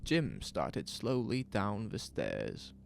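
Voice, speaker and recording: A man's voice: on the low side, huge pitch swings, slow pace, vocal fry, slight background noise. A man narrating a scripted horror story in a grim, dramatic manner.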